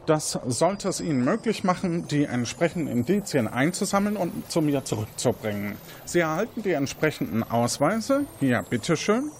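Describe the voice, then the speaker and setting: An actor playing a quirky lab inventor in an interactive audio drama, chatty, sardonic and a little condescending. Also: male voice, on the high side, very animated, normal speed, hardly any pauses, a little hiss or hum. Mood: instructive.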